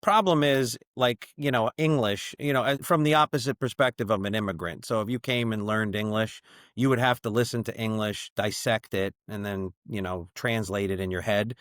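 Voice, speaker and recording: A middle-aged man talking casually as a podcast guest, telling stories with lots of "you know" fillers and lively quoted voices. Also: fairly low pitch, moderate pace, dry recording, clean studio sound. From born in Chile, raised in the US. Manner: chatty storyteller, many "you know" fillers, animated when quoting. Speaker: brewery founder, podcast guest. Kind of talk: casual conversational interview.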